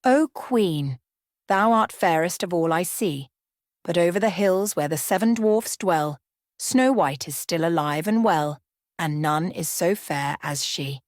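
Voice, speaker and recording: Woman doing magic mirror from a fairytale, solemn, formal, lowish and dry. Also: lively intonation, measured pace, dry recording. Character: solemn truthful enchanted talking mirror, stately and rhyming.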